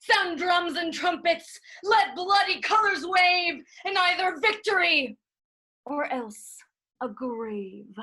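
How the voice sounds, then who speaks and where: A woman's voice: very high-pitched, expressive, slow, pushed and bright, exaggerated voice. A woman playing a proud, defiant young male claimant to a throne in a Shakespearean verse reading, with a haughty, declamatory delivery. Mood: fierce defiance. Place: remote reading over a video call.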